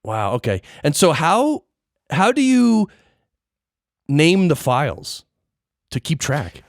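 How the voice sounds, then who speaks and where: A man hosting a casual interview, animated and curious, often restarting his sentences. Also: fairly high pitch, slow, no echo, studio quality. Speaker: podcast host and interviewer.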